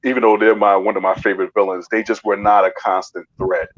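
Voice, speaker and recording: Lower-voiced man on dull mic. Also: level tone, fast talker, a bit bright. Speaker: review show panellist. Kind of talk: casual opinionated discussion. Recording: dull, lo-fi microphone.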